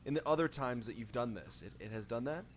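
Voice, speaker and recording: A man playing an improvised tabletop game, speaking in a halting, thinking-aloud way. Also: medium pitch, a little hiss or hum. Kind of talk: casual improvised role-play.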